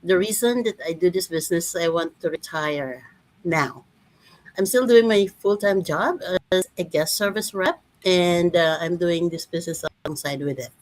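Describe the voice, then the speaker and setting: A hesitant woman in her fifties with a fairly low voice. She keeps restarting sentences as she speaks. The sound is dry and close. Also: normal speed, dry recording, low-quality recording. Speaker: panelist.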